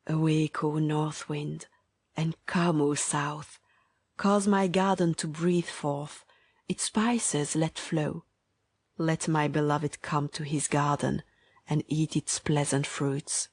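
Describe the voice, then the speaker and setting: A woman playing a loving, yearning bride in a hushed, solemn dramatized reading of scripture poetry. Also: deep voice, fairly even intonation, slow pace, slightly rough, no room sound, heavily acted.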